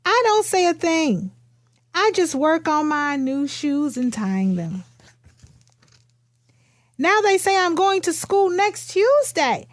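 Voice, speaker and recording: A woman voicing a quiet, matter-of-fact young kindergarten girl who tells her own storybook tale in simple, expressive phrases. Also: extremely high pitch, takes it slowly, pauses often, dry recording, studio quality, full character voice. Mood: earnest, matter-of-fact.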